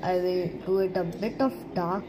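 A woman's voice: lowish voice, fairly even intonation, moderate pace, background noise. A woman giving a step-by-step digital drawing tutorial, speaking in simple, short, broken instructions over a screen recording. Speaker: art teacher. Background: background music.

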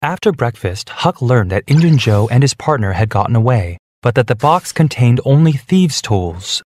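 A man reading a children's storybook, clear and measured. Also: medium pitch, big pitch swings. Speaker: narrator. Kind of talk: scripted storybook narration. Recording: soft background music.

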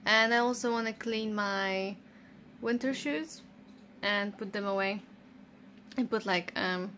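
A woman's voice: mid-range voice, slow, pushed and bright, slight background noise. A young woman in her twenties chatting casually to camera at home, easygoing and explaining as she goes; English is not her first language. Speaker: vlogger. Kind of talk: casual vlog chat. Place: home bedroom, indoors.

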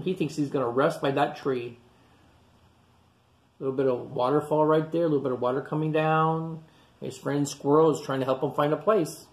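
A man in his forties, a teacher reading a picture book aloud for children and explaining each page, recorded close on a webcam in a classroom. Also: a little high. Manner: animated, explains the story to children between pages. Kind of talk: children's storytime read-aloud. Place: classroom, indoors. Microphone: webcam at close range.

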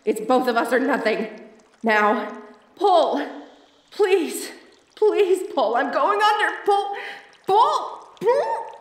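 A woman's voice: very high voice, very animated, slow pace, pauses often, full character voice. Woman acting a theatrical femme fatale, roomy echo. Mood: panicked, pleading. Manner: theatrical, pleading or teasing. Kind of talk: audio roleplay acting addressed to the listener. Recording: roomy echo, distant from mic.

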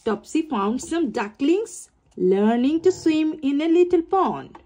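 Brief: woman storybook reader, unhurried, very lively melody, slight stumbles. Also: high-pitched. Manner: slow, sing-song storytelling with small slips and restarts. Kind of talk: reading a picture book aloud to children.

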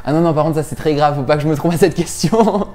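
A young man from southern France answering quick-fire questions on a lapel mic in a small room, hesitating with many fillers and laughing often. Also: fairly high pitch, dark tone, faint music behind. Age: young adult. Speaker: guest youtuber.

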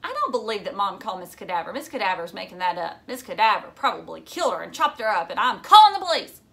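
Woman doing an anxious stubborn storybook schoolgirl, bright voice, prim n overwrought. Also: mid-range voice, big pitch swings, quick pace, gravelly. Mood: defiant.

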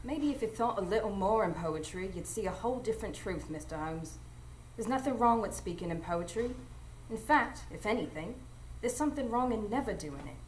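A woman's voice: mid-range voice, animated, fairly quick, lightly acted. A woman playing a protective, plain-spoken motherly housekeeper in a live radio drama, chiding and earnest in a folksy way. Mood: chiding, concerned. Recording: roomy live stage, faint noise.

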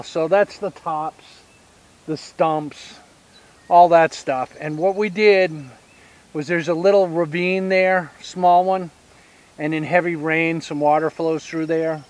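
Slow-talking older-sounding homestead man outdoors. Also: fairly high pitch, fairly even intonation. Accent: Southern US. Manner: unhurried, explains step by step with pauses and fillers. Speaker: homesteader giving a tour. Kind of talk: casual walking tour. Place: outdoors on rural land by a pine forest. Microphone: action camera held at arm's length.

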